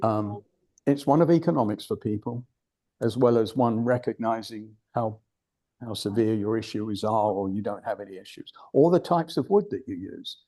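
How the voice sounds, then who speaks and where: Older british guy giving a club talk, kinda low and warm, blunt, rambles and restarts a lot. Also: normal speed. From United Kingdom. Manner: digressive, blunt, restarts often. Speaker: guest speaker at a club. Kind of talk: informal presentation.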